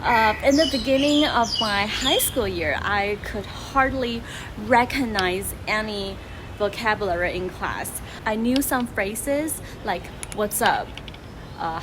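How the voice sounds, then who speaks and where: A young woman from Taiwan whose first language is Chinese, telling her story and giving advice as a channel host in a chatty, teacherly way. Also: medium pitch. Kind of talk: casual vlog storytelling. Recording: noticeable background noise.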